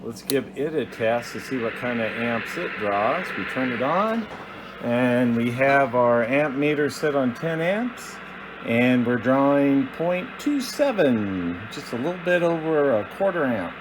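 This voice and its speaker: A man giving a casual hands-on demonstration as host of a hobby video, talking in a halting, plainspoken, methodical way. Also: medium pitch, expressive, background noise.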